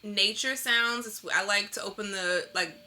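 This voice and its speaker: A woman's voice: mid-range voice, moderate pace, bright, forceful tone. A woman speaking informally and reflectively in a casual interview as a visual artist, often saying "like". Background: instrumental lo-fi music.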